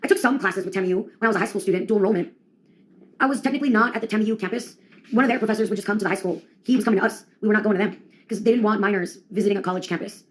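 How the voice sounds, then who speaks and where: A young woman comedian giving a rambling monologue in a deadpan, ironic, slangy way. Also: medium pitch, very fast, slight background noise.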